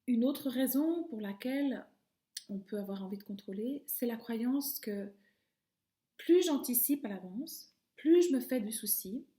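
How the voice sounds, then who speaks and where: A middle-aged woman speaks in a calm, reflective way, letting her sentences fade softly at the ends. Her voice is mellow and sits slightly high. The room around her rings with noticeable echo. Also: measured pace. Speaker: video host. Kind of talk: personal reflective monologue. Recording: echoey room.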